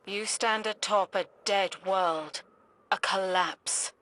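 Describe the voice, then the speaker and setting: A woman's voice: mid-range voice, slow, fairly bright tone. An actress voicing an enigmatic, solemn female oracle in a video game, cryptic and portentous. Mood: ominous, calm. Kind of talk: video game cutscene voice acting.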